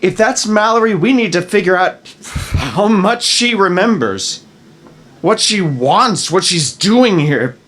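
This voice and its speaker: A man's voice: high voice, expressive, takes it slowly, fairly bright tone, full character voice. A man acting an anguished husband and grieving father at a screenplay table read. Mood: pleading. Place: live film festival table read. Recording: roomy live reading, faint noise.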